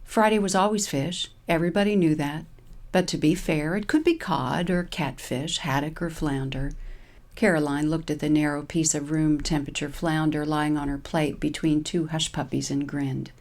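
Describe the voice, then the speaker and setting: A woman's voice: sits low, steady tone, normal speed, a little mellow. A woman reading a scripted humorous short story as narrator.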